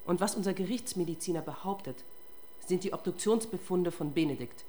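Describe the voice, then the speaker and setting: A woman's voice: lowish voice, a little fast, mellow, close to an everyday voice. An actress playing a tough, relentless female police detective in a German radio crime drama, confrontational and sardonic.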